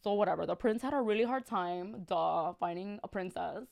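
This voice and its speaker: A woman's voice: medium pitch, moderate pace, creaky, a little mellow. A woman reading a story on a live stream, throwing in sassy, slangy, exasperated asides.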